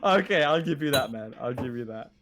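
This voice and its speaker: A man narrating an improvised tabletop roleplaying game as game master, describing things in a casual, halting way. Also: mid-range voice, lively intonation, a bit bright.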